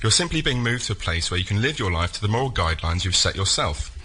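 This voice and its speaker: A man pitching an idea on a comedy show in a deadpan, matter-of-fact manner. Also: fast talker, slight background noise. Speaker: member of the public pitching an idea. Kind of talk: reading a prepared pitch, then answering.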